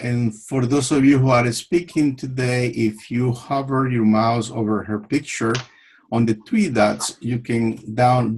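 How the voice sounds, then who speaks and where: A man from El Salvador hosting an online club meeting over a video call, friendly and unhurried with frequent fillers. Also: medium pitch, gravelly, a little mellow, slight background noise, poor audio. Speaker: meeting host.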